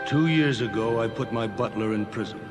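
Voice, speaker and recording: A man voicing a troubled, commanding Pharaoh in an animated Bible story, impatient and imperious. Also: mid-range voice, brisk, over loud music, close to an everyday voice. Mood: questioning.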